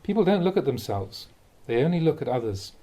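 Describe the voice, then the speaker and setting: A man, a Buddhist monk, reading a dharma talk aloud in a measured, reflective way with the occasional soft chuckle. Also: on the high side, very animated.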